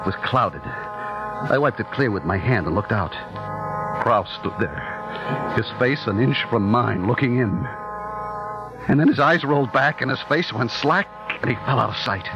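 An actor playing a terrified, hunted man who recounts his ordeal in halting, intense phrases in an old-time radio suspense style. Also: mid-range voice, animated, dull audio. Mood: shaken dread. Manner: breathless, halting, intense storytelling in short clipped phrases. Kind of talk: old-time radio suspense acting, first-person narration. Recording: vintage radio drama with loud music underneath.

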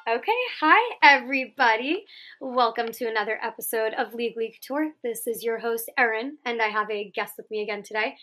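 A woman's voice: fairly high pitch, a little fast, sharp tone, faint music behind. A woman in her twenties hosting a podcast, chatting casually and giggling often. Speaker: podcast host. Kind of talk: casual chat.

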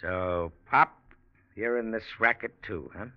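An actor in an old-time radio drama playing a hard-boiled, evasive gangster with a tough, slangy, curt way of talking. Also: male voice, lowish voice, measured pace, a little hiss or hum. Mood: sardonic. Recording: dull old radio recording.

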